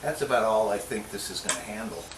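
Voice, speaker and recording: A man's voice: lowish voice, quick pace. A middle-aged man hosting a casual home cooking show, chatty and joking to himself as he works. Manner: jokey, chatty, talks to himself while cooking. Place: home kitchen. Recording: roomy kitchen sound from a distant mic, clear background noise.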